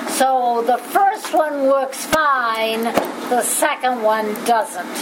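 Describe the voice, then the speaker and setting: A woman teacher with a Boston accent talks students through a lesson at an unhurried pace, in a slightly high voice. She explains things patiently, one step at a time. The classroom is echoey, with a steady hum of noise behind her. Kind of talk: classroom lecture.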